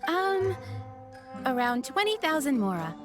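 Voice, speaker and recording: A woman's voice: sits high, sing-song, measured pace, over music. A woman playing a polite young restaurant waitress with a courteous, enthusiastic way of presenting, in an anime-style video game.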